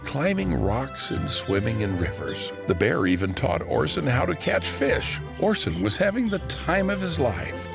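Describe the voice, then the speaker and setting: A man narrating a children's storybook in an expressive, scripted read. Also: medium pitch, creaky. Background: background music.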